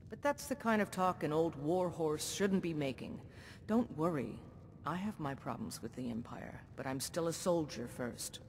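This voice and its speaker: Casting: a stern old war-horse ruler, formal, slightly high voice, long reverb. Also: male voice. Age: older. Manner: measured, formal and commanding. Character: older male jarl, a former soldier, stern and dutiful. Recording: game audio with long reverb and faint rumble.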